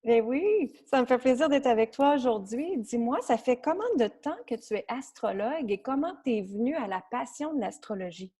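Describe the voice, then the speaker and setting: A woman's voice: fairly high pitch, lively intonation, normal speed, few pauses, warm tone, breathy edge. A woman with a Quebec French accent hosting a podcast and chatting with a guest in a conversational interview style. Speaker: podcast host, coach.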